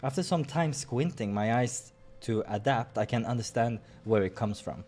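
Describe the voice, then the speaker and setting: A man hosting a playthrough, reading a visual novel aloud in an expressive way with casual asides. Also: mid-range voice, moderate pace. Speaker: let's-play host.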